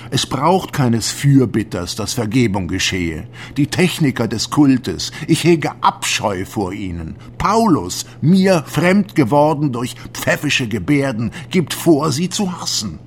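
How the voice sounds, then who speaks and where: A troubled male disciple of the early church in a radio drama. He declaims slowly in archaic language, solemn and deliberate, with bitterness and indignation toward those who have cast him out. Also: medium pitch, big pitch swings, a little hiss or hum. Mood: scornful, wounded. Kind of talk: literary radio drama monologue.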